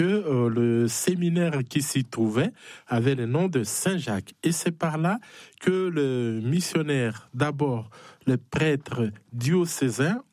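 A man's voice: medium pitch, darkish tone, dry recording. Congolese man, hesitant interview guest, close dry sound. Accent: Congolese French. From Democratic Republic of the Congo. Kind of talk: conversational interview, personal testimony.

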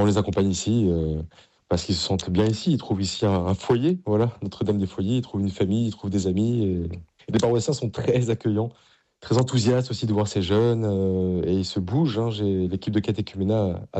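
A middle-aged man from Paris speaks as a priest in an interview. His voice sits fairly low and has a very mellow, relaxed tone. He explains things in an earnest, enthusiastic, conversational way. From Paris, France.

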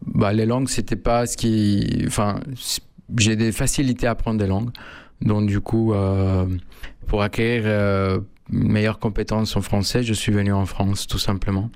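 Man, flat gravelly voice, halting answers, dry sound. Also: lowish voice, dry recording. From Mexico. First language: Spanish. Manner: halting, many fillers and restarts. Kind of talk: hesitant interview answers.

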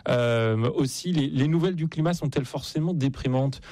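A man's voice: medium pitch, moderate pace, mellow, no echo. A man hosting a live radio interview, chatting casually and often repeating words as he searches for the next one. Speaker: radio show host and interviewer. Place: radio studio. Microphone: studio microphone.

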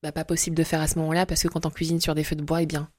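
A woman in her forties from Congo-Brazzaville, a guest telling her faith story in an interview and pausing often with fillers and restarts. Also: low voice, level tone, darkish tone, husky, dry recording, studio quality. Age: 40s. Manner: hesitant, many fillers and restarts. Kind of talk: testimony interview.

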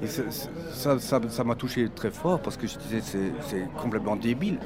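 A man's voice: medium pitch, expressive, fairly quick. A man from Belgium arguing his view emphatically, restarting phrases, recorded outdoors in a busy urban spot. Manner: emphatic and opinionated, with repeated restarts. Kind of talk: discussion. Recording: outdoor crowd chatter behind.

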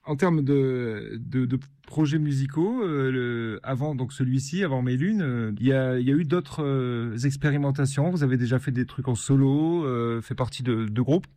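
A man interviewing a guest in a radio studio, asking halting questions with frequent fillers. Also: mid-range voice, warm tone, no room sound.

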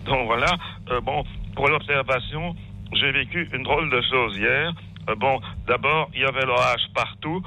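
A man's voice: medium pitch, normal speed, sharp tone, slight background noise, poor audio. A man, rambling hesitantly and repeating words as he phones in to a radio show. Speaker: phone-in caller. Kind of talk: spontaneous radio call-in remarks. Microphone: telephone call.

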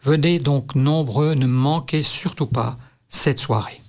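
Earnest male radio guest, mid-pitched, inviting tone. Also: takes it slowly, a little mellow. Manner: earnest, promotional. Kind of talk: radio interview.

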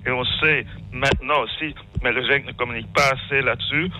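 Male radio caller, extremely bright and forceful timbre, mid-range, hesitant and digressive delivery. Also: mid-range voice, fairly even intonation, a little fast, poor audio. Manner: hesitant, digressive, restarts often. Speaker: phone-in caller. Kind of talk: rambling spontaneous talk. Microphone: telephone call.

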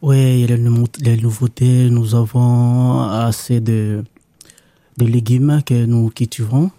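A man from Congo, a native Lingala speaker, talks haltingly in a radio studio, restarting his phrases often. His voice is very dark and mellow, and the sound is dry and close. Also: mid-range voice, level tone, no echo. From Democratic Republic of the Congo. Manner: hesitant, halting with restarts and fillers. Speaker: guest, new worker at a farm association. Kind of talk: radio interview, casual. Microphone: studio microphone.

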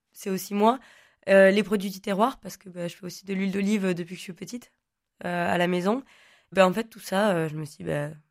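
A woman's voice: mid-range voice, a little mellow. A young woman in her twenties from the Languedoc, whose first language is Occitan, answering interview questions in a chatty, rambling way with many fillers and restarts. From Languedoc, southern France. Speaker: guest, online content creator.

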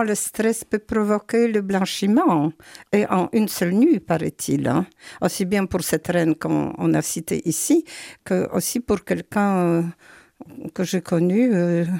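A woman's voice: lowish voice, vocal fry, warm tone, no room sound, studio quality. An older woman hosting a radio interview, chatty and exclaiming, tagging her sentences with little asides. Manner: chatty, exclaiming, adds "hein". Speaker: radio show host.

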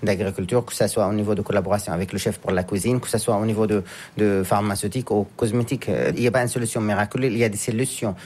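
A man from France, raised in Japan, answering interview questions in a halting way as he searches for words. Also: fairly low pitch, fairly even intonation, a little mellow, husky. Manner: halting, searching for words, with frequent pauses and restarts. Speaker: guest, scientist and businessman.

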